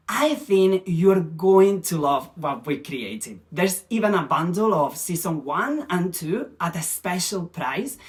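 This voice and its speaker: A woman presenting language courses in a scripted promotional and teaching style. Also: on the low side.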